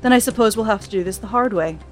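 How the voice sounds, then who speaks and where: A scheming young man with a smooth, taunting way of talking, as a woman narrator puts him on. He sounds calm and sly, a villain in a spoken fantasy story with faint music behind. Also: mid-range voice, fast, lightly acted.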